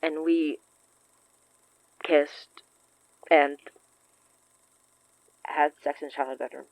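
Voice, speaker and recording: A woman acting in a fiction podcast as a shaken, earnest young woman who confides shyly in halting phrases. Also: low voice, fairly even intonation, no echo, low-quality recording. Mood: hesitant, confiding. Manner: hesitant, halting, confessional.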